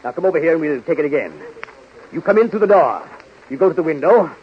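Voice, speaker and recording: A man's voice: on the high side, lively intonation, fast, close to an everyday voice. An actor playing an exasperated film director, straining for patience as he spells out instructions in 1930s radio comedy style. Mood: coaxing, weary. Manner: emphatic, spelled-out coaxing instructions. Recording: noisy, muffled 1930s radio recording.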